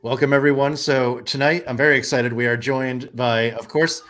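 A middle-aged man with a mid-range voice hosting a video call interview. He speaks in an upbeat, measured way as he introduces guests, talking through a headset mic. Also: medium pitch, fairly even intonation, a bit bright. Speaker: podcast host. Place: home room. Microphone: headset microphone on video call.

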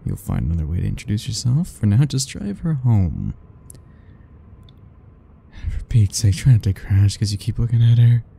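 Man acting a very mellow, husky, obsessive lover. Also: fairly low pitch, huge pitch swings, full character voice. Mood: conflicted, yearning. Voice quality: soft, husky, breathy. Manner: slow, intimate murmuring, coaxing and self-talking. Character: soft-spoken obsessive yandere man, doting and possessive, young. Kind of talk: ASMR romance roleplay acting.

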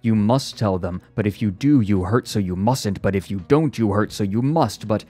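A man's voice: lowish voice, moderate pace, dark tone, breathy edge. A man voicing a cold, caustic woman psychologist in an audiobook story, commanding and insistent. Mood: relentless, droning.

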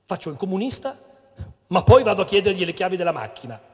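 A middle-aged man reading a book passage aloud on stage with a handheld mic, in an expressive, theatrical way, then moderating. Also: high voice, fast talker. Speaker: reader and moderator. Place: stage in a large hall. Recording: long hall reverb.

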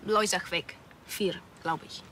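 A woman's voice: lowish voice, slow pace, bright, forceful tone, a little hiss or hum. An actress playing a polite but evasive young woman with a Russian accent and clipped, non-native phrasing.

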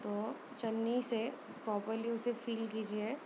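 A woman giving an informal step-by-step craft tutorial voice-over, hesitant and often pausing mid-sentence. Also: on the high side, level tone, noisy background. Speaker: rangoli art tutorial presenter.

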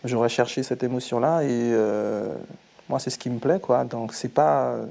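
Mellow-voiced man, hesitant talk. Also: mid-range voice, normal speed, creaky. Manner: hesitant, many fillers. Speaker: zouk singer. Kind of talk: relaxed interview talk. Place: rehearsal room with drums.

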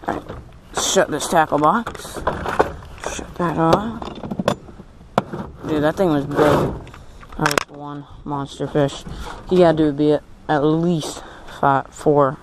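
An excited young man commentates his fishing trip from a small boat on a lake, exclaiming over his catch. His voice sits slightly high yet stays flat and even in melody. A head-mounted camera picks him up outdoors with a faint background hiss. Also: slow pace. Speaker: fishing vlogger. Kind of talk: casual commentary while fishing. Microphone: head-mounted action camera.

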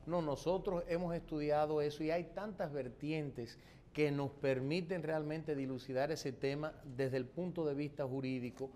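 A man with a Dominican accent answers political interview questions as a candidate, in formal, legalistic, measured wording. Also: fairly high pitch, fairly even intonation, warm tone, slight background noise. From born in the United States to an exiled Dominican family. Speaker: presidential candidate being interviewed.

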